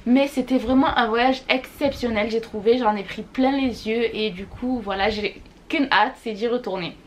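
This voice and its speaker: A woman's voice: on the high side, moderate pace. A young woman vlogging a casual monthly recap, gushing and repeating words for emphasis. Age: young adult. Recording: faint background noise.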